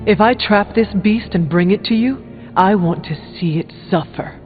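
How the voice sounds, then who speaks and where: A woman's voice: medium pitch, moderate pace, long reverb tail, phone-quality sound, soft background music, lightly acted. A woman playing a determined, serious warrior hunter in a video game, speaking formally. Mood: grim. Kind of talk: video game character dialogue.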